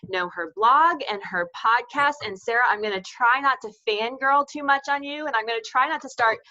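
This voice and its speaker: A woman's voice: fairly high pitch, normal speed, bright, forceful tone, lo-fi sound. A woman hosting an interview, speaking conversationally with gushing enthusiasm. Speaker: interview host. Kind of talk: conversational introduction and questions.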